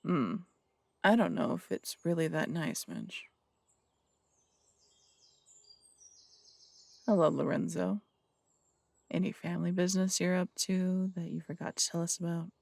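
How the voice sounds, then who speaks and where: A man's voice: high-pitched, moderate pace, vocal fry, no room sound. A man playing a blunt, distrustful city detective who speaks in curt, suspicious questions. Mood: wary. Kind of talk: audio roleplay drama acting.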